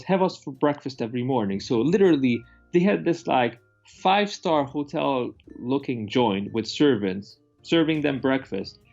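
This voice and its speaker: A man reviews films in a loose, rambling chat. His voice has a creaky, gravelly edge and he is opinionated and casually profane. The audio is slightly dull, with music playing underneath. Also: mid-range voice, a little mellow. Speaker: movie review host.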